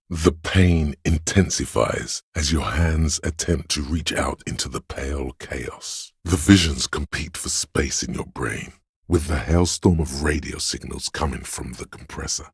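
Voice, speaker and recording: A man voicing a grim, wry inner voice of pain in a video game, narrating to the listener in a husky, deliberate, ominous way. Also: very deep voice, no echo, studio quality, heavily acted. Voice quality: gravelly, husky. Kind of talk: scripted second-person game narration.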